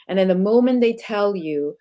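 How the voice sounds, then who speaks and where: A woman's voice: medium pitch, lively intonation, moderate pace, mellow. A woman from Singapore co-hosting a leadership talk, reflective and asking searching questions about her guest's reading. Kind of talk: conversational podcast interview.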